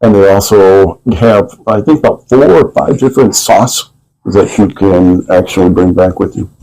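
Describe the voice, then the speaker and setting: Male sommelier guest from Lithuania, mellow lower register, casual explanatory chat. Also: moderate pace, rough recording.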